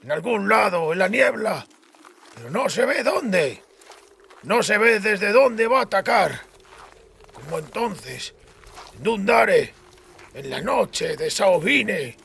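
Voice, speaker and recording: A man's voice: high voice, sing-song, slow pace, vocal fry, long pauses, fairly bright tone, voice pushed up, heavily acted. A man voicing an anxious, watchful tracker who speaks warily and precisely in an audiobook. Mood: fearful. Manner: murmuring, wary.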